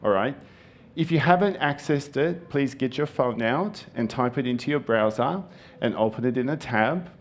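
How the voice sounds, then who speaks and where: Earnest man giving a conference talk. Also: mid-range voice. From Japan. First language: Japanese. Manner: earnest, friendly, with filler ums. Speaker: conference speaker. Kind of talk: conference presentation. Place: conference hall.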